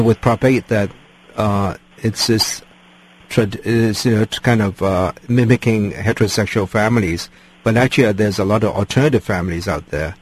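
Male radio interviewer, low husky voice, halting questions. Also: poor audio. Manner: hesitant questions with fillers.

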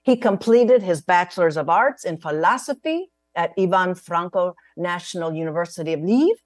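A woman hosting an interview, reading her guest's credentials in a measured, careful way over a video call. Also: on the low side, animated, dry recording, studio quality. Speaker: host. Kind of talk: formal interview introduction.

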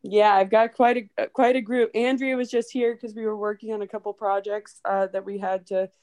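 A bubbly woman talks casually as a guest, laughing often. Her voice sits at an ordinary pitch and stays friendly and loose. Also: fairly even intonation, a little fast, a little mellow. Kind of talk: casual video interview.

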